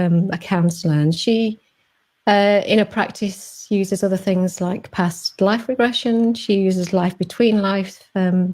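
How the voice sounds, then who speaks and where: A woman's voice: medium pitch, darkish tone. A woman with a Sheffield accent hosts a Facebook Live interview, talking over a video call. She is chatty and loose, full of fillers and false starts. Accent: Sheffield English. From England. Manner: chatty, with many fillers and restarts. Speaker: host.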